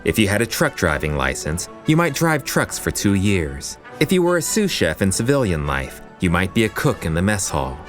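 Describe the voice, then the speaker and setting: A wry storyteller with a low, deep voice. He narrates a romantic comedy podcast with very lively intonation, in a polished scripted style. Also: normal speed, few pauses, soft background music. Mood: wry, measured.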